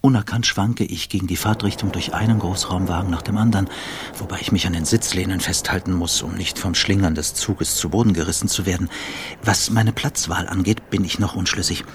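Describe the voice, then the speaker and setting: Low-pitched guy actor playing a smug brooding journalist, dry irony, radio play monologue, noisy behind him. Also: fairly even intonation, a little fast, close to an everyday voice. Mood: sardonic, restless. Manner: wry, wordy, ironic narrating. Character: sardonic, self-absorbed male media journalist, drily brooding.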